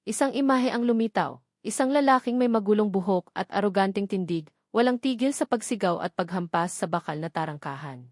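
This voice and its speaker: A woman's voice: mid-range voice, darkish tone, no echo, studio quality. A woman reading a scripted love story as a dramatic narrator.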